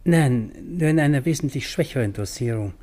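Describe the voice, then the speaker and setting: Guy playing a polite doctor in a radio drama, dark mellow voice, formal and wordy. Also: mid-range voice, animated, normal speed, lightly acted. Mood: courteous, defensive. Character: courteous, formal male physician. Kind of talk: radio play acting.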